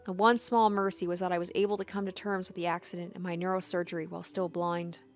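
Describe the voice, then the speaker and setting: A woman's voice: fairly low pitch, level tone, fast, faint music behind. A young adult woman game streamer reading story narration aloud and adding casual commentary.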